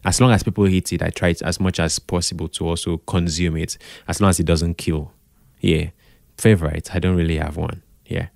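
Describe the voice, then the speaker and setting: A Nigerian man talking casually as an interview guest, expressive, slipping into Pidgin and repeating phrases for emphasis. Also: deep voice, fairly quick, gravelly, no echo, clean studio sound. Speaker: podcast guest, radio drama producer.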